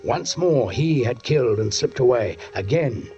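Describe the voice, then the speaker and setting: An actor playing a brooding, menacing British police sergeant in a 1940s radio suspense drama, speaking in deliberate, literary phrases. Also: male voice, medium pitch, lively intonation, mellow, soft background music, natural voice. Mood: grim, haunted. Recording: muffled 1940s radio recording.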